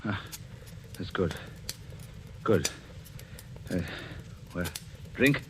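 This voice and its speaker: A man's voice: low voice, animated, very slow, background noise, exaggerated voice. A man playing a cruel, controlling husband with a suave, sardonic, commanding manner in 1940s radio suspense style. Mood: probing. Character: cruel, menacing, controlling husband, coldly mocking his wife. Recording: old radio broadcast with music behind.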